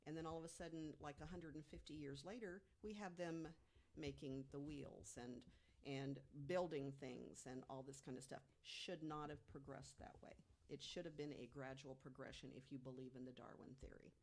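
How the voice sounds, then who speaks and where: A woman's voice: sits low, fairly even intonation, moderate pace, darkish tone. A woman speaking casually as a podcast guest, explaining things in a good-humored storytelling way. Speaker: guest panelist.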